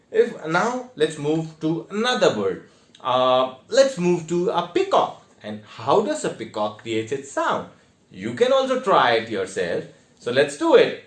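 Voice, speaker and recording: A man with an Indian accent giving a theatre lesson in a deliberate, step-by-step way with emphatic stressed words. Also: mid-range voice. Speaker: theatre teacher. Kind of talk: instructional online lesson with demonstrations. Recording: echoey, reverberant room.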